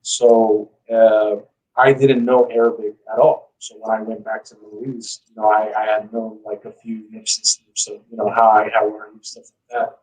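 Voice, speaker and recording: A man's voice: fairly low pitch, level tone, moderate pace, darkish tone, far from the mic, poor audio. A man telling his story as a guest in a casual interview, speaking informally with lots of "you know". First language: English.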